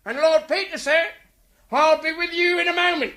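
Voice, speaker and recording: An actor playing a reformed Cockney burglar turned pious locksmith in a radio drama, with preacherly fervour and folksy, ungrammatical speech. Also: male voice, very high voice, moderate pace. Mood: fervent, preaching. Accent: London Cockney.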